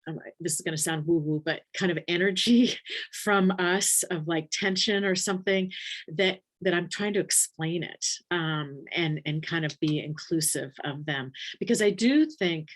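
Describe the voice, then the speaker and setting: A woman with a very low voice, teaching a nursing webinar in a casual, thinking-aloud way with many ums and restarts. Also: moderate pace. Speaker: palliative care nurse educator. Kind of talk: casual webinar teaching, reading chat questions.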